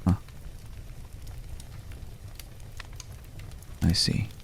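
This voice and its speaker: A man voicing a courtly, world-weary old companion in an intimate ASMR roleplay, speaking in formal, archaic phrases with long pauses and a gravelly low voice. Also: slight background noise, close to an everyday voice. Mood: musing, gentle.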